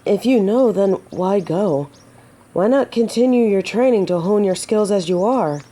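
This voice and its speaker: A woman's voice: mid-range voice, unhurried, mellow, natural voice. A woman playing a gallant, teasing yet earnest female knight in a romantic fantasy audio drama, with formal, old-fashioned phrasing. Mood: gentle, cautious.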